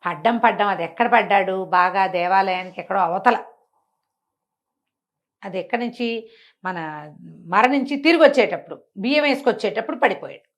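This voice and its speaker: A woman's voice: medium pitch, brisk, pauses often. A woman telling a story conversationally, in colloquial speech, posing rhetorical questions as she goes along.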